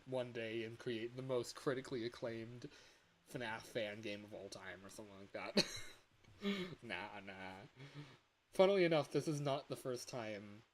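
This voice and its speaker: Male streamer, early 20s, mid-pitched, rambling and self-deprecating, faint hiss. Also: rough recording. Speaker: livestreamer and indie game developer. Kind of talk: casual livestream chat. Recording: hissy home livestream audio.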